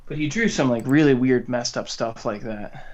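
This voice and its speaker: Male podcast co-host, mid-pitched, informal and halting, discussing books casually on dull-sounding audio. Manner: informal, halting delivery with many "like"s. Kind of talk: casual discussion of art and literature.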